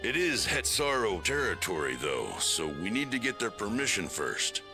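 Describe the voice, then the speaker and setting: Lowish, brisk railway boss. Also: male voice, big pitch swings, over music. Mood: businesslike. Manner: brisk, businesslike. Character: brisk, practical male railway boss, plain-spoken and businesslike. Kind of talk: video game cutscene acting.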